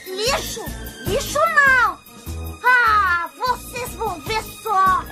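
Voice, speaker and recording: A boastful kid insect inventor, cartoon, tiny high-pitched voice, defiant and brave, over loud music. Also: expressive, slow pace, full character voice. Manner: cheeky, defiant. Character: plucky cheeky little insect boy inventor, brave and boastful. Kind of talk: animated film dub acting.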